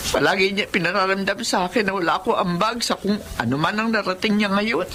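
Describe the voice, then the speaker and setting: A woman's voice: mid-range voice, quick pace, fairly bright tone, close to an everyday voice. A woman playing a hurt, long-suffering mother in a Filipino family drama, plaintive and emotional. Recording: music under the voice.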